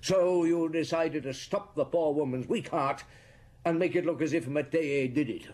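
An actor playing a shrewd, world-weary police chief inspector in British radio drama, measured and probing, with a British accent. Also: male voice, fairly high pitch, a little mellow, close to an everyday voice. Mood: accusing, shrewd. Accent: British English.

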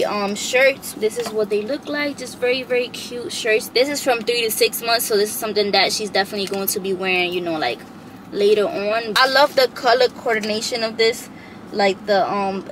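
A woman's voice: fairly high pitch, fairly bright tone, slight background noise, poor audio. A woman in her twenties, sitting in a parked car and filming a casual, rambling haul vlog, full of "like" fillers, into a camera on her dashboard. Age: 20s. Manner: chatty, rambling with many "like" fillers. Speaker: vlogger.

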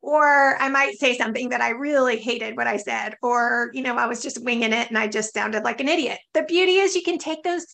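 A woman's voice: fairly high pitch, a little fast, vocal fry, few pauses. A woman in her forties, a podcast host with a scratchy voice, talking candidly and easily on a video call webcam. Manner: lively, candid, laughs easily. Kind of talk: conversational interview.

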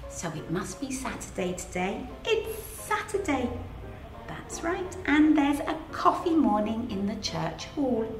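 Middle-aged English teacher, storybook read-aloud; bright, bouncy and unhurried, roomy echo with soft music under. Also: female voice, on the high side. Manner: animated, sing-song, asks children questions. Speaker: head of early years, reading aloud to children. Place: in front of a green screen with book pages. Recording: echoey room, faint music behind.

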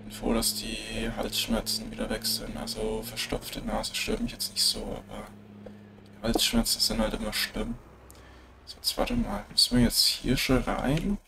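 A man with a German accent talks his way through a farming game in an easygoing, chatty voice, dropping little filler tags as he goes. He has a cold, so his nose sounds stuffed up, and his voice has a gravelly rasp as he speaks slowly and unhurriedly. A faint noise sits underneath the recording. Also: on the low side, very animated. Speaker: let's play gamer. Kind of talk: casual gameplay commentary.

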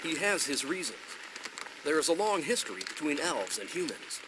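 An actor voicing a stern, haughty elf lord in a video game, formal, authoritative and disdainful. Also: male voice, fairly high pitch, very animated, moderate pace, background noise. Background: loud music.

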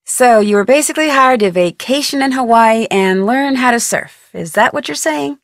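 Plucky young female detective, American accent, higher-pitched, chipper, inquisitive, video game dialogue, very dry studio sound. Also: lively intonation, normal speed, no room sound. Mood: friendly, curious. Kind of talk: scripted adventure game dialogue.

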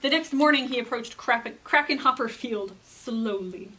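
An American woman in her thirties presenting and reading as a children's librarian, expressive and clearly enunciated, with dramatic pauses. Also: on the high side, slight background noise, low-quality recording. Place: indoor library room.

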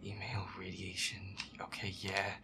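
A man voicing a feisty, grumpy young werewolf boyfriend in a gameplay roleplay, muttering irritably and swearing to himself in a breathy voice. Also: low-pitched, monotone, a little slow, heavy background noise, heavily acted. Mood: irritated, grumbling. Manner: foul-mouthed, irritable muttering with nervous outbursts.

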